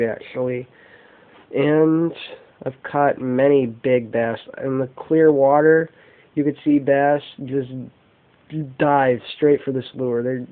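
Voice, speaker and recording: Guy doing a homemade show and tell video, talks real slow and choppy, muffled lo-fi camera sound. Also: medium pitch. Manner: halting, choppy short phrases. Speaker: amateur fishing vlogger showing lures. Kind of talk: unscripted product show-and-tell. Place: indoors, carpeted room at home. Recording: dull, muffled lo-fi home video.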